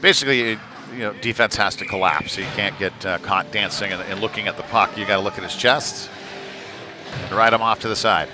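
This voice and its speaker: A man doing live play-by-play of a youth hockey game, talking in a halting, conversational way with folksy slang. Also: fairly low pitch. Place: indoor ice rink during a live game. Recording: noisy rink, clear background ambience. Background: rink noise and game ambience.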